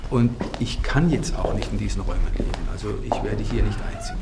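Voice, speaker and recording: A man's voice: lowish voice, huge pitch swings, fast talker. A man recounting someone's words in a spontaneous way, in a noisy recording with clicks. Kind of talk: spontaneous spoken recollection. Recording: clearly noisy clip with clicks.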